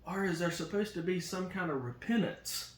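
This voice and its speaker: A man's voice: high voice, normal speed, reverberant. A man talking casually with his wife about faith and marriage, earnest and preachy, often repeating himself. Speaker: co-host, husband.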